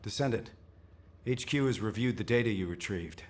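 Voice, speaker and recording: A man's voice: lowish voice, normal speed, slight background noise, close to an everyday voice. A man playing a composed, wryly good-humoured military commander in a video game. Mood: measured concern.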